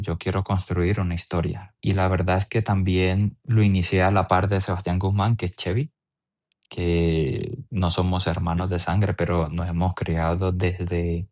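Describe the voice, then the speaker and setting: A man's voice: low-pitched, level tone, normal speed, a little mellow. A man in his twenties with a Venezuelan accent, telling his personal story as an athlete being interviewed. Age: 20s.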